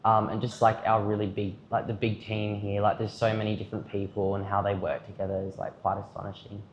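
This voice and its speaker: A man's voice: lowish voice, level tone, brisk, slight background noise. A young Australian man giving rambling, earnest answers in a casual interview, full of "like" and "um". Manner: rambling, filler-heavy, earnest. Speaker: student at an education camp. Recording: roomy, dull-sounding audio.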